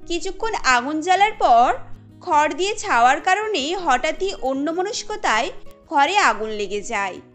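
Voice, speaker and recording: A woman narrating a cartoon story in a steady storytelling manner. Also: high voice, measured pace, faint music behind.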